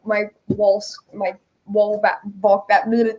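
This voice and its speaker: A woman's voice: mid-range voice, level tone, slow, darkish tone, slight background noise. A woman chatting casually and earnestly as an interview guest. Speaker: musical creator, interview guest. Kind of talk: casual chat.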